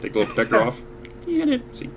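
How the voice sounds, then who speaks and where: A man's voice: medium pitch, wildly swooping pitch, rapid-fire, noisy background. A man hosting a casual podcast, explaining things step by step with dry jokes. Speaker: podcast host.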